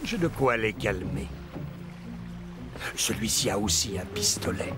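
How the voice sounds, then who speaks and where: A man's voice: fairly low pitch, lively intonation, a little slow. A man voicing a scheming cartoon crook who leads a gang, with a sly, plotting manner. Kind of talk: French cartoon voice acting. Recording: music behind the voice.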